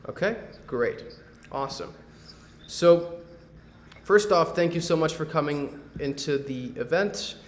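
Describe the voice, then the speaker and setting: A man's voice: on the high side, expressive, unhurried, roomy sound. A man from Medellín, Colombia, hosting a real estate webinar over a video call in a loose, conversational way, with many fillers and tag questions. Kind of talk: casual presentation on a video call.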